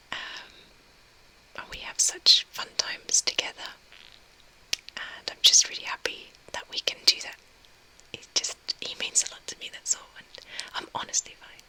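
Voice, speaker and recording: A young woman whispering softly as she reads and answers a live chat, a little tearful. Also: rough recording. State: tearful, recently crying. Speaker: ASMR streamer. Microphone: webcam mic.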